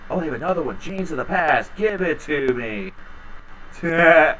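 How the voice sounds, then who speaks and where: A man in his thirties hosting a home livestream, chatting casually with viewers in a rambling, low-key, tired way. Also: expressive, normal speed, a bit bright, far from the mic, a little hiss or hum, low-quality recording. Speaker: livestream host reading chat. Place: small home room indoors. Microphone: headset.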